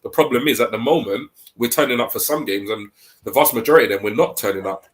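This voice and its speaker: A man with a stuffy head cold talking casually about football on a podcast, hesitant with many pauses. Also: mid-range voice. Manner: hesitant, many ums, thinking aloud. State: congested with a cold. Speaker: podcast co-host.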